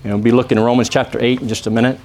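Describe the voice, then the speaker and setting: A man from Texas with a Texan accent, preaching a church sermon and praying, often pausing with "uh" and asking the congregation for an "amen". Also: medium pitch, level tone, darkish tone, slight background noise, poor audio. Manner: peppers phrases with "amen?" asking the congregation to answer; halting, with many "uh" pauses and restarts. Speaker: visiting preacher.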